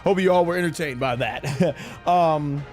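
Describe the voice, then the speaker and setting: A man's voice: fairly high pitch, measured pace, faint music behind. A man in his thirties hosting a gaming livestream, chatting casually and explaining his opinions at length. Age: 30s. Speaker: streamer and host of a live gaming show. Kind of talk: casual livestream chat answering viewer questions.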